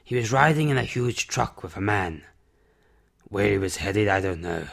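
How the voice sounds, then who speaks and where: A man playing a gruff, solemn older recluse with a terse, grave manner in an amateur audio drama. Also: on the low side, animated, moderate pace, a bit bright, exaggerated voice.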